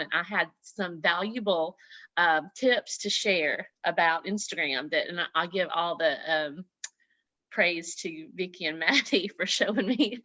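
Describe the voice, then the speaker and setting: Older Southern woman, guest speaker on a laptop call; bright, chatty, quick to laugh. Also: medium pitch, dry recording. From Southern US (Mississippi, Alabama). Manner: warm, chatty, laughs often. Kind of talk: casual talk on a video call. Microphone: laptop on a video call.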